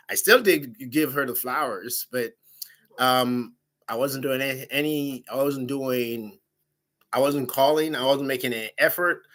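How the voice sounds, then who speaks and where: Man, big loud voice, animated storytelling chat. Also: mid-range voice. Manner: animated, laughing, quotes himself in raised voice. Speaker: dating show guest.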